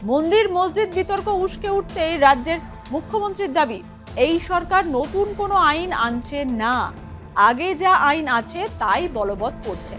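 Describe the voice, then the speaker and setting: A woman's voice: very high voice, animated, moderate pace, fairly bright tone, soft background music. A woman reading scripted news narration as a reporter in voice-over.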